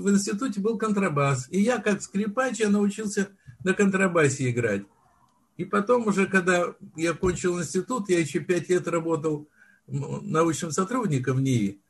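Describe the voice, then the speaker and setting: An older man with a husky, breathy voice that sits high for a man. He reminisces at an easy pace, often stopping and restarting mid-word as he recalls details. It sounds like a relaxed conversational interview. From Soviet Union. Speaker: film director, interview guest. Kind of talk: unhurried storytelling in conversation.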